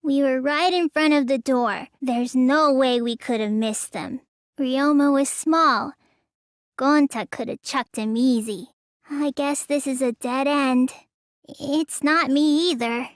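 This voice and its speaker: A woman's voice: sits high, no echo, studio quality. A woman voicing a sluggish, stubborn, gullible teenage girl magician in an English video game dub, with a lazy, childish drawl that turns whiny.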